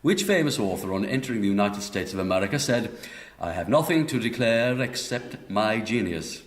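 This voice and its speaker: A man acts a stern, officious examiner in a radio play. His low voice rings in an echoing room as he delivers questions with clipped, deadpan formality. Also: moderate pace, close to an everyday voice. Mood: brisk, officious. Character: brusque, officious male examiner firing quiz questions. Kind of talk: radio drama acting. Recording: roomy, echoing radio drama sound.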